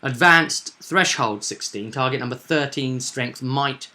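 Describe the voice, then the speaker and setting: A man in a casual, animated chat as host and game master, recapping a tabletop roleplaying session and often repeating himself. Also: medium pitch, measured pace.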